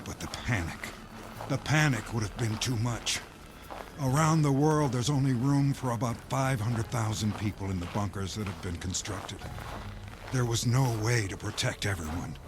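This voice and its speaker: A man's voice: mid-range voice, normal speed, noisy background, natural voice. A man playing a weary, fatalistic scientist and insider at the end of the world, speaking in a resigned, blunt, explanatory way. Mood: resigned, regretful. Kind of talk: dramatic acting.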